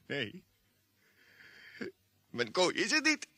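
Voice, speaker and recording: An actor playing Jimmy, an excitable, easily panicked space crewman who stammers and repeats words in a Dutch radio drama. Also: high-pitched, very slow, dry recording, exaggerated voice. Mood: bewildered, self-calming.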